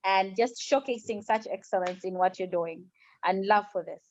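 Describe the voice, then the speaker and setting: A woman's voice: mid-range voice, normal speed, darkish tone, slight background noise. Encouraging female interviewer, dull lo-fi audio. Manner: encouraging, repeats words for emphasis. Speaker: interviewer, host. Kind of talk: conversational interview.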